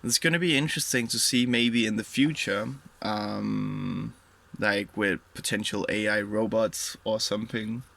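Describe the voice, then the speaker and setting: Young guy rambling slowly in english, lots of ums and trailing off, gravelly low-ish voice. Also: fairly even intonation, fairly bright tone. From Denmark. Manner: hesitant, many fillers and trailing pauses. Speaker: vlogger. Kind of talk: rambling reflective monologue.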